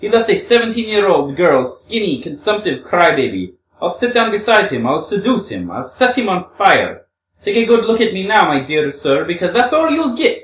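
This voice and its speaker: A woman's voice: mid-range voice, big pitch swings, normal speed, voice pushed up, lightly acted. A woman playing a volatile, wounded young Russian woman in a storybook reading, with theatrical exclamations. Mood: taunting, defiant. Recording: roomy, distant microphone.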